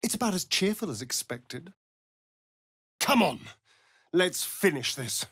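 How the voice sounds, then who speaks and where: Arch vampire rogue, sardonic drawl, husky, very dry close recording, video game character. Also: male voice, sits high, big pitch swings, unhurried, fairly bright tone, no echo, exaggerated voice. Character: arch, sly, theatrical male vampire rogue.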